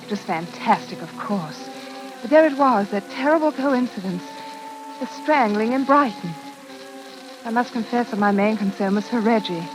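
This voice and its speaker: A woman's voice: a little high, expressive, moderate pace, music in the background, lightly acted. An actress playing an earnest, devoted, well-bred young woman in refined, emotive 1940s radio drama style. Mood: troubled, reflective. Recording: noisy, hissing old radio recording.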